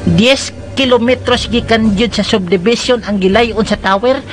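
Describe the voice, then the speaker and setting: A man's voice: sits high, moderate pace, natural voice. A man playing a jittery, scatterbrained household helper with a Cebuano accent, exclaiming and muttering to himself in comic radio drama style. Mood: panicky, fretful. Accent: Cebuano Filipino. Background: background music.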